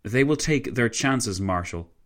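A man voicing a steady, self-sacrificing French politician, firm and measured, in a dramatised historical story. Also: mid-range voice. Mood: resolute.

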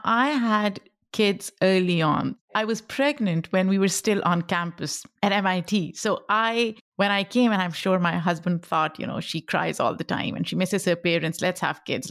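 A woman's voice: medium pitch, dry recording, clean studio sound. A middle-aged woman with a Pakistani accent and Pashto as her first language, talking reflectively about her own life as a podcast guest. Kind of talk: reflective personal interview talk.

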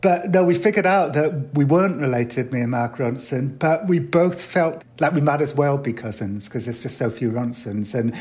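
A man's voice: medium pitch, expressive, moderate pace, few pauses, a little mellow. A middle-aged man from Wales, a guest in a casual chat, telling rambling, self-deprecating anecdotes and chuckling as he goes. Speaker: interview guest.

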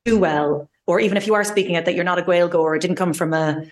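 A woman's voice: on the low side, fairly even intonation, fast. A young Irish woman, a language teacher, talking passionately and fluently as a guest in a podcast interview. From Ireland. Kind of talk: conversational interview.

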